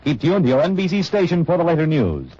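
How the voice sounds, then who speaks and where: An American man reading a news bulletin in formal 1950s radio style with a husky voice. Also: a little high, sing-song, fast talker, mellow. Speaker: radio newsreader. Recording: muffled old radio recording.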